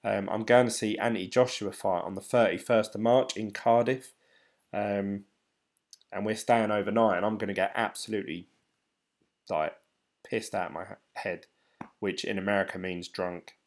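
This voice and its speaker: A British man in his thirties, a channel host, chatting casually and hesitantly to viewers on a late-night live stream, tired and a little croaky. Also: on the low side, fairly even intonation, moderate pace. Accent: British English. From United Kingdom. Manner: rambling, hesitant, many ums and restarts. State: tired, voice getting croaky and dry. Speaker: crypto channel host reading live chat.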